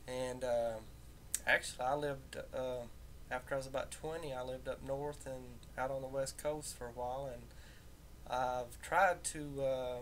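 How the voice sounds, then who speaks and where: A man with a softened Southern Appalachian accent, talking casually and off the cuff in a halting, folksy way. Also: steady tone. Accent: Southern Appalachian American, somewhat softened. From rural Southern United States, southern Appalachia. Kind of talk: casual unscripted personal talk. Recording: rumbly home recording with background noise.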